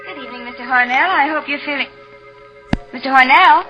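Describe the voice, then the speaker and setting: An actress playing a dutiful, easily alarmed nurse in a 1940s radio drama, crisp and dramatic. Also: female voice, sits high, a little fast, lightly acted. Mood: courteous. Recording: muffled old radio recording, faint music.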